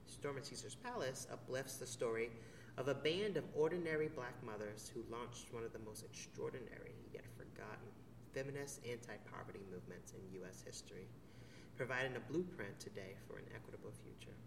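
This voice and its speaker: A man conducts an oral history interview in a measured, formal way, moving from a read introduction to gentle questions. He sits well away from the mic in an echoey theater hall with a long reverb tail. Clear room noise runs under his voice. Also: mid-range voice. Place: theater venue. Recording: distant mic in a roomy, noisy hall.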